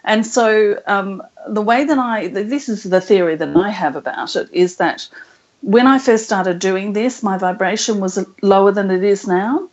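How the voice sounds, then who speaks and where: A woman being interviewed as a spiritual channeler, speaking slowly and thoughtfully with soft laughs. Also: mid-range voice. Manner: slow, thoughtful, laughs softly. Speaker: guest channeler. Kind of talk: casual interview chat. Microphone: internet call. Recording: muffled call audio.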